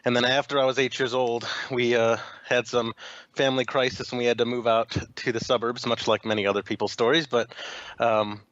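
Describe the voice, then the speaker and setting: A man's voice: medium pitch, flat delivery, a little fast, fairly bright tone, dry recording. Rambling young man, dry dull sound. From Chicago, USA. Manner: rambling, frequent fillers and restarts. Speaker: podcast guest. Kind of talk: casual interview chat.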